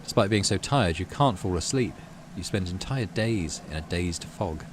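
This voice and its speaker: A man narrating a story game aloud as a gaming host, expressive and measured, with casual asides. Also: low voice. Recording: clearly audible background noise.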